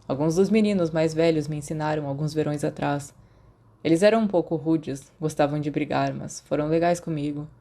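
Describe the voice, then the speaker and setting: A woman's voice: deep voice, moderate pace, mellow. Woman reading as Remus, a dry, bookish boy. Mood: matter-of-fact. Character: Remus, bookish, reserved, wry teenage boy.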